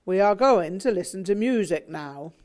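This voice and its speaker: A woman doing a stern, loud lecturing father. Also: medium pitch, lively intonation, moderate pace, close to an everyday voice. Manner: loud, insistent, rhetorical. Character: stern, domineering father, middle-aged man.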